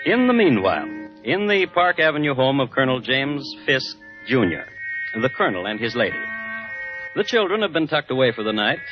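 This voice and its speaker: A man's voice: mid-range voice, natural voice. A man acting as the narrator of a 1950s radio crime drama, telling it in an arch, theatrical way. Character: wry, arch storyteller of old crimes. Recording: dull 1950s radio recording, loud music.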